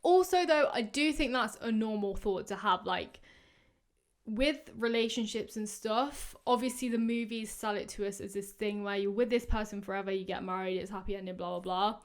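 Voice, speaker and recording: A woman in her twenties hosting a podcast, giving casual, rambling advice full of fillers, her voice going hoarse. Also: mid-range voice, moderate pace. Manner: chatty, rambling, many fillers. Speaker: podcast host. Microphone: podcast microphone.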